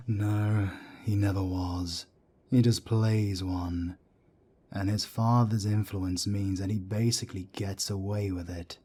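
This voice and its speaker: A deep, husky-voiced werewolf elder played by a man in an audio drama. He is a wry mentor who talks slowly and deliberately, with a dark, mellow tone. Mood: wry, amused. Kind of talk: audio roleplay drama acting.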